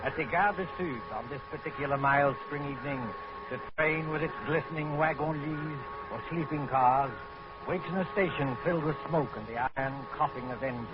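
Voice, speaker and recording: Highish-pitched ominous male radio host. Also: over music. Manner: deliberate, ominous. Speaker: radio drama host and narrator. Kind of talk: 1940s suspense radio narration, scripted. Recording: muffled old radio recording.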